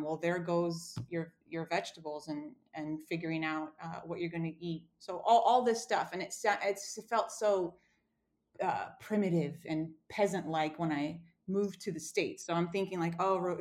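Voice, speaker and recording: A woman's voice: on the low side, darkish tone. A woman from Romania, a cookbook author, telling a personal story in a casual interview, with many fillers and restarts.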